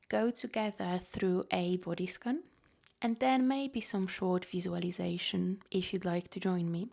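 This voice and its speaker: A woman guiding a relaxation meditation as a yoga nidra teacher, soft-spoken and gentle, offering unhurried invitations. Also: medium pitch, gravelly.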